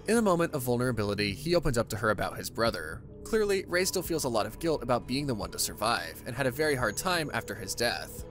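Animated male recap narrator over music. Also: mid-range voice. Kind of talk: scripted story recap narration.

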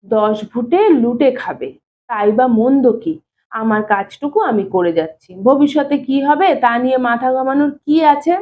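A woman's voice: on the high side, moderate pace, a little hiss or hum, lightly acted. A woman reading a storybook as a shy, stubborn young bachelor, plain-spoken and evasive in colloquial speech. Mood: stubborn, reluctant. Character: shy, stubborn young bachelor brother-in-law, reluctant to marry. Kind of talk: dramatized audiobook reading. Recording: thin phone-like narrow-band sound.

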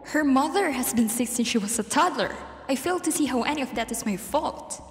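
A woman's voice: sits high, expressive, fairly quick, faint music behind. A woman playing a proud, defiant young heiress in an amateur radio drama, with a cutting, sardonic manner. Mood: dismissive. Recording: echoey, reverberant hall sound.

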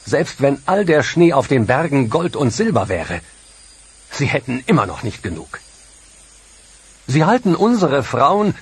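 Husky, lively-pitched defiant Inca king, rousing his people to war in a documentary reenactment. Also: exaggerated voice. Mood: grave. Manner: impassioned, rousing speech building to fury. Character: defiant Inca ruler rallying his chiefs.

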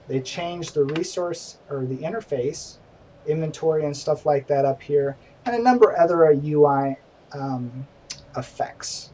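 A man's voice: fairly high pitch, warm tone, distant mic, a little hiss or hum, poor audio. A middle-aged man hosting a casual game stream, chatty and self-deprecating, recorded at home. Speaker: stream host. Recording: voice from away from the mic, faint music behind.

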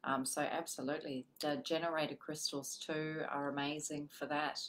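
A woman in her forties, a guest healer on a live video chat, explaining crystals and energy calmly. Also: low-pitched, normal speed, a little hiss or hum, rough recording. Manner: calm, flowing spiritual explanations. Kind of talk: live-streamed spiritual chat. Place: home room with a paper screen. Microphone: video call webcam.

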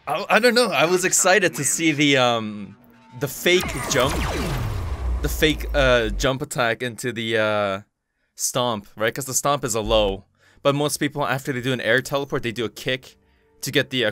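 A man whose first language is Korean talks over his match in a middle-pitched voice, excitable and quick to swear. He mutters to himself between bursts of energy. Faint game music runs underneath. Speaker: gaming channel host. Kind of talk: live gameplay commentary.

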